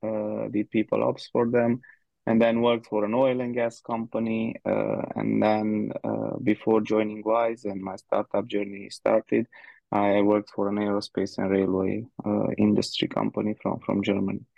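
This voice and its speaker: Gravelly man, hesitant. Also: lowish voice, monotone, moderate pace, no echo, dull audio. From Romania. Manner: hesitant, many fillers, thinks aloud. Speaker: guest, head of people. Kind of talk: conversational interview answers.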